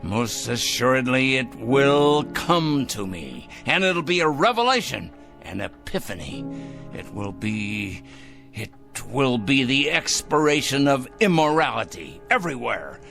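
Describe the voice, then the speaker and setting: A petulant, sanctimonious fanatic in a radio drama. He rants and mutters suspiciously, his pitch lurching up and down as he drags out words slowly. Music sits behind him. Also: very animated, creaky, pushed and bright, heavily acted. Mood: fanatical scheming. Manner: ranting, drawn-out emphasis, muttering to himself. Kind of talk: theatrical radio drama acting.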